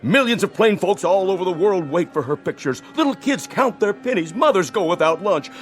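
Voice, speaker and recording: Pushy, high-pitched movie producer. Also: male voice, lively intonation, a little fast, soft background music. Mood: grandiose pleading. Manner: wheedling, grandiose salesman-like pleading. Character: pushy, smooth-talking older male movie producer. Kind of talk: radio drama acting.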